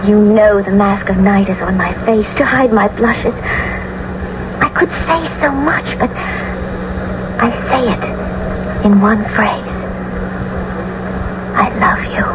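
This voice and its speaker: A woman's voice: mid-range voice, takes it slowly, pauses often, close to an everyday voice. An actress playing a tender, anxious, lovestruck young noblewoman in a classical radio drama, theatrical and earnest. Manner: theatrical, earnest, romantic delivery. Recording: muffled, noisy old radio broadcast recording.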